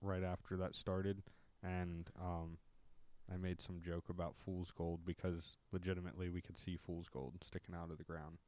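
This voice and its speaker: A man's voice: deep voice, flat delivery, moderate pace, a little mellow. A man telling a wry story in a casual podcast conversation. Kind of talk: casual chat.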